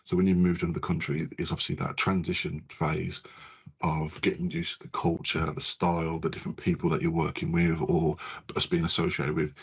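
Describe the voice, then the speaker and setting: A man's voice: deep voice, breathy edge. A man hosting a podcast, chatting casually in a rambling, informal way. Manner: rambling, informal, repeats phrases. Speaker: podcast host. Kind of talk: casual chat. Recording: dull, lo-fi sound.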